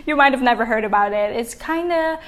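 A woman's voice: a little high, expressive, a little fast. Chatty woman hosting a podcast. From Belgium. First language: Dutch. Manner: warm, chatty and encouraging. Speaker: podcast host, business coach. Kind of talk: solo podcast monologue.

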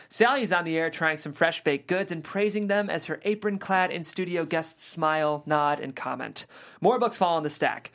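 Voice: medium pitch, a bit bright. Screenplay narrator reading directions.

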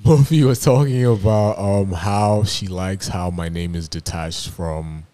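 Deep-voiced laid-back man chatting. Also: a little slow, mellow. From Nigeria. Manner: laid-back, joking, swears casually. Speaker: podcast co-host. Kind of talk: casual chat.

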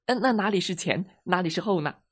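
A timid little crab pupil that stammers in a high, fluttery voice, played by a man in a children's storybook. It trips over its words and sounds fretful throughout. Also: animated, brisk. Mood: flustered.

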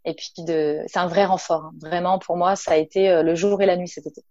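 A woman from the south of France talks casually in an interview, full of fillers and friendly asides. Her voice sits on the low side. The recording is dry and somewhat muffled. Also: steady tone, fairly quick, dark tone, dry recording. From Nice, south of France. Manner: chatty, many fillers, addresses listener informally. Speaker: naturopath and entrepreneur, guest.

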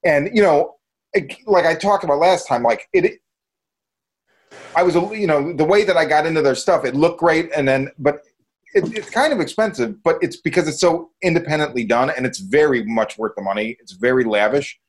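A man's voice: on the high side, animated, normal speed. A man hosting a podcast, chatting casually in a rambling, enthusiastic way. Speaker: podcast host. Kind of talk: casual review chat.

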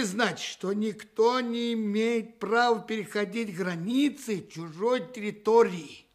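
Naive young crook, high husky voice, slow-witted, eager, hesitant delivery, radio play. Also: male voice, full character voice. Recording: radio play with faint music.

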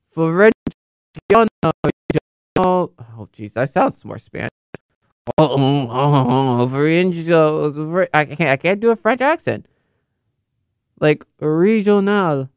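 A man's voice: a little high, smooth, no room sound, studio quality. A young man in casual, unscripted commentary, rambling and correcting himself with many fillers. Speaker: football video host.

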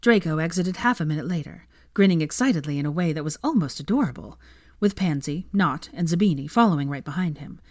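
A woman's voice: low voice, animated, fast, a little mellow, dry recording, studio quality. A woman reading fiction aloud as an audiobook narrator, in scripted storytelling style.

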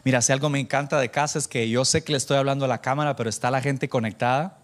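A man preaching informally to a church congregation, animated and self-deprecating, bantering with listeners and dropping in English phrases. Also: mid-range voice, quick pace. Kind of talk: informal conversational sermon with anecdotes.

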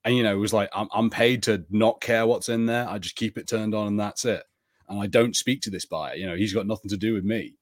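A man's voice: fairly low pitch, brisk, no room sound, clean studio sound. A man co-hosting a podcast, chatting casually and filling his talk with "like". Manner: relaxed, heavy use of "like". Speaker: podcast co-host. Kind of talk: casual chat.

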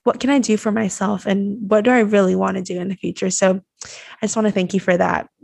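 A woman's voice: mid-range voice, flat delivery, a little fast, vocal fry, a little mellow, husky, no echo. A woman in her early twenties from Taiwan, a college student hosting a conversation, reflective and appreciative, with many fillers like "you know" and "um". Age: early 20s. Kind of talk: conversational interview.

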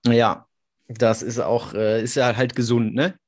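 A man's voice: medium pitch, flat delivery, a little slow, a little mellow. A man in his thirties hosting a casual interview over a video call, chatty and curious. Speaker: podcast host.

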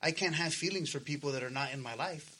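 A man's voice: on the high side, fast talker, bright, forceful tone, a little hiss or hum. A man in his thirties chatting casually as a podcast co-host, earnest and slangy, swearing freely. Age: 30s. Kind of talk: casual personal chat.

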